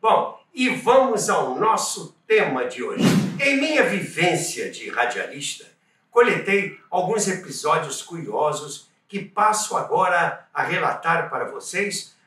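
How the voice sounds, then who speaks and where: A man's voice: sits high, big pitch swings, unhurried, bright, forceful tone. An older man with a Brazilian accent presenting to viewers as a channel host, telling stories emphatically with rhetorical questions. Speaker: host of a radio history channel. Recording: roomy, distant from the mic. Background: music.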